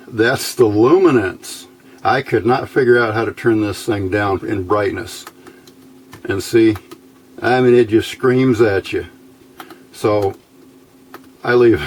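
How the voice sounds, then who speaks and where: A man's voice: fairly low pitch, takes it slowly, pauses often, slight background noise. A man giving a casual, unscripted hands-on demonstration, explaining step by step in a plain, folksy way. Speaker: hobbyist presenter demonstrating electronics test equipment.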